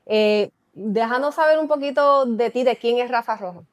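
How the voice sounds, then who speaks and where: A woman's voice: fairly high pitch, normal speed, no echo, clean studio sound. A woman with a Puerto Rican Spanish accent interviewing a guest in a friendly way, mixing English words into her Spanish. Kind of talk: interview questions.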